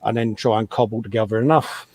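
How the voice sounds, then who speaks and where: A man's voice: lowish voice, steady tone, fast talker, darkish tone, dry recording. A man, a fellow model maker, chatting matter-of-factly on a live stream, often saying "you know". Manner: conversational and matter-of-fact, with frequent "you know". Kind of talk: casual chat on a livestream.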